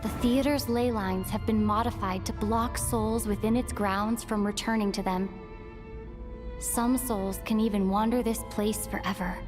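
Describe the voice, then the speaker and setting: An actress playing a composed, logical young woman investigator in a video game, explaining in a measured way. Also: mid-range voice, steady tone, over music, natural voice. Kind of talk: scripted game dialogue.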